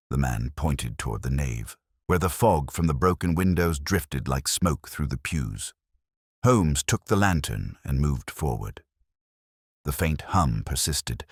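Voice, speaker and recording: Very deep-voiced male suspense narrator. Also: no echo, clean studio sound. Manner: measured, solemn, suspenseful delivery. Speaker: audiobook narrator of a detective story. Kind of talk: scripted dramatic story narration.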